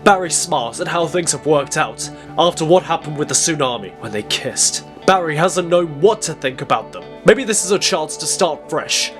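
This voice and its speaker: A man's voice: on the high side, expressive, normal speed, few pauses, music in the background. A man reading dramatic scripted narration as the storyteller of an audio drama.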